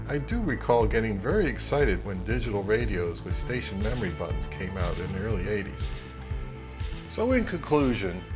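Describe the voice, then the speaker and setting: Man reviewing gear, music behind. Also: mid-range voice. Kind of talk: conversational product review narration.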